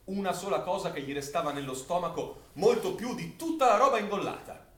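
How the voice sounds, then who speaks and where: An actor playing a bitter villager who recounts wartime occupation in a vivid, theatrical radio-play monologue. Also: male voice, sits high, fairly quick. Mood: wry, confiding. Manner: expressive, dramatic storytelling delivery. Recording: roomy, distant stage recording with faint noise.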